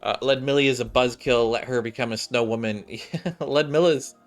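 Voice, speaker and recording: A man streaming a video game with a medium-pitched voice. He comments casually as he plays and reads along. Game music runs underneath him. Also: normal speed. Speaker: gaming streamer. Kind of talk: casual let's-play commentary, reading game dialogue aloud.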